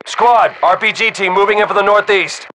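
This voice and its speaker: Casting: tough, tense game commando, forceful clipped delivery, bright edge, noisy track. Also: male voice, high-pitched, normal speed. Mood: focused. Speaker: soldier in squad. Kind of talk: military game combat callouts.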